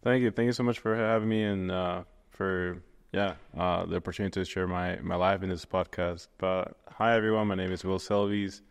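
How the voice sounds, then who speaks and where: A man's voice: sits low, flat delivery, normal speed, smooth, in a large hall. A young man from Venezuela, a guest in a casual interview, rambling with many fillers and restarts. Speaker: guest, 3D artist.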